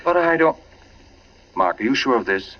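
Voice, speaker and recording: Actor as animated concerned man, old radio drama. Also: mid-range voice, normal speed, natural voice. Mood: startled, concerned. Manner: animated, expressive, questioning. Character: concerned, sensible husband and loyal friend. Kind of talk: 1940s radio suspense acting. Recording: muffled old radio broadcast.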